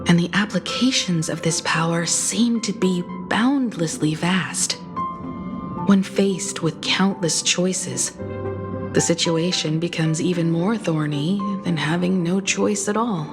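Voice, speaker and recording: An actress playing a thoughtful adventurer and adviser in a video game, reasoning things out in an articulate way. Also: female voice, on the low side, slow pace. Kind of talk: scripted game voice acting. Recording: loud music behind.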